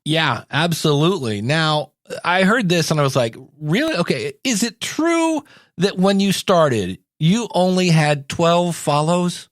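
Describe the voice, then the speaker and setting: A man's voice: on the high side, very animated, unhurried, no room sound, studio quality. A man hosting a podcast, asking questions in a casual, playful interview style. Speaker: podcast host. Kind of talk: casual interview in a scripted comedy sketch.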